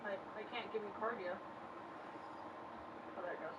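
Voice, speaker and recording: A woman's voice: medium pitch, moderate pace, fairly bright tone, highs cut off. A woman chiming in casually with short, teasing remarks from the side. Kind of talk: casual chat.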